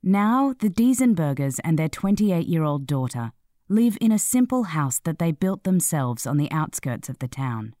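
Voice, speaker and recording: A woman narrating a scripted feature article in a measured, clearly read delivery. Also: sits low, very animated, dark tone, no echo, studio quality.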